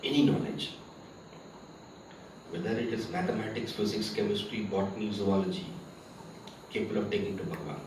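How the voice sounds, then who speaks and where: A man's voice: lowish voice, brisk, pauses often, background noise. A man whose first language is Tamil, giving a spiritual discourse, asking rhetorical questions and reciting Sanskrit verses. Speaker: spiritual teacher. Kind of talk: spiritual discourse to a camp audience. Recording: very echoey, from far off, with crickets.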